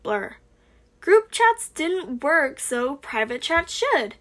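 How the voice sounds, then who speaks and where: A woman's voice: high voice, very animated, slow pace, fairly bright tone, heavily acted. A woman voicing a cheeky young male hacker with a bouncy, teasing delivery.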